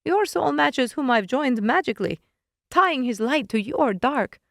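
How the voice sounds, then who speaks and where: A woman's voice: high voice, animated, measured pace, gravelly, no room sound, pitched above the performer's own voice, full character voice. Smug ancient witch, taunting and bossy, raised and pushy , put on by a woman narrator; audiobook, dry close sound.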